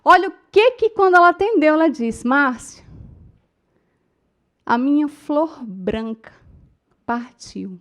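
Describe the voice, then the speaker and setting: A Brazilian woman in middle age leads a class on speaking in public. Her voice sits high, and she tells stories with strong feeling, turning often to her listeners. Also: expressive, slow pace, pauses often. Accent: Brazilian Portuguese. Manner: emotive storytelling, addresses the audience as "gente". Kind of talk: lecture to a class.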